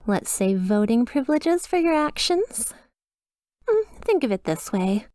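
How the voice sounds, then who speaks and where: A woman's voice: fairly high pitch, darkish tone, no echo. Woman acting a polite, slyly sinister demon receptionist, close and dry. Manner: polished customer-service politeness, reassuring, faintly apologetic. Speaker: hotel receptionist character. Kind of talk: scripted ASMR roleplay.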